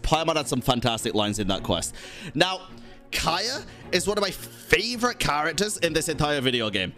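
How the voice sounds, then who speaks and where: Male streamer host, somewhat higher-pitched, chatty and emphatic, casual swearing, faint music behind. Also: bright, forceful tone. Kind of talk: casual commentary to a live chat.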